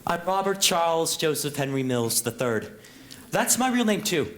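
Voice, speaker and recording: Wry young male auditioning dancer, acted by a man, animated intonation, droll delivery; echoey live stage. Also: on the high side, normal speed. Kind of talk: stage musical acting. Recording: echoey, faintly noisy live stage recording.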